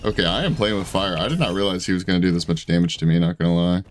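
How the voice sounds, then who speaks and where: A man in his twenties giving casual, chatty gameplay commentary from his room, self-deprecating and quick to laugh. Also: deep voice, soft background music. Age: 20s. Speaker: gaming streamer. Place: home room at a desk.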